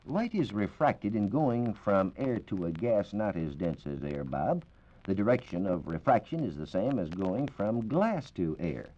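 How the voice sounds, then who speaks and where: An American man explaining science in a measured, precise, formal way as a reporter on a 1940s radio program. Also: lowish voice, dark tone. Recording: dull 1940s radio recording.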